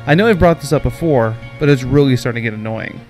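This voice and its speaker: Guy doing a game review podcast, normal pitched voice, music going behind him. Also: fast talker, a little mellow. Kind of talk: video game review with scripted headings and loose commentary.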